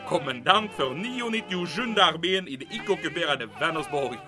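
An actor playing a vain, excitable German army major in an audio drama, pompous and gloating as he talks to himself. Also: a little high, over music, lightly acted. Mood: self-satisfied.

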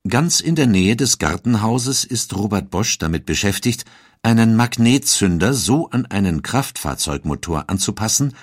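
Low-pitched male narrator, dry studio sound. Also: slightly rough, no room sound. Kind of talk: scripted documentary narration.

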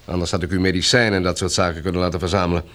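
An officious, low-voiced colonel. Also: male voice, flat delivery, racing, fairly bright tone. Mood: businesslike, practical. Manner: clipped, officious, orderly questioning. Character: brisk, bureaucratic male colonel running a survivors' camp. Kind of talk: Dutch radio drama acting.

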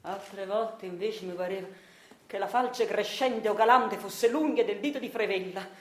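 An actress playing a dreamy, henpecked, bookish husband, confiding his story in a hushed theatrical monologue. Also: mid-range voice, normal speed, echoey room, close to an everyday voice. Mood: pensive, confiding. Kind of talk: theatrical radio monologue narration.

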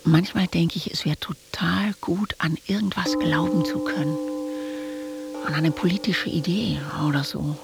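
A quietly yearning office worker in a radio drama. His hushed, half-whispered voice is somewhat high and full of creak. Faint music sits behind him. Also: measured pace. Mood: wistful resignation. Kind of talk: radio play monologue.

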